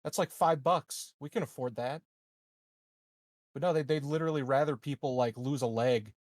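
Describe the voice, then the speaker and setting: A man's voice: medium pitch, normal speed, no room sound, studio quality. A man playing a tabletop roleplaying game, improvising in character in a casual, profane way.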